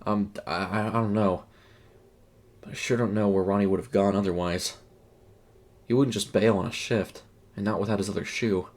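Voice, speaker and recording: A man voicing a spooked, hesitant young fast-food worker in a horror story, stammering. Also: on the low side, steady tone, lightly acted. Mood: unsure, uneasy.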